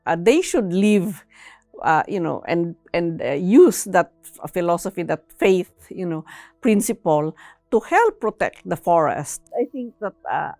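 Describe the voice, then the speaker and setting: Woman, interviewee, low voice, measured and halting explanatory delivery, very dry close sound. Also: very animated, a little mellow, no echo, faint music behind. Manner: measured, halting, ending phrases with a tag "no?".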